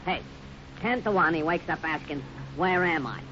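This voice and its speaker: An actor playing a rough, slow-witted outlaw henchman in a radio western, with blunt, uneducated, griping speech. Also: on the high side, natural voice. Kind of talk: 1950s radio western acting. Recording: muffled, noisy 1950s radio recording.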